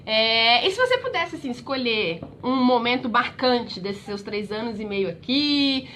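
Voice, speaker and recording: Woman, Brazilian accent, interviewer, friendly, prompting, slightly high voice. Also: expressive, measured pace, fairly bright tone, slight background noise. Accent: Brazilian Portuguese. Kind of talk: casual interview.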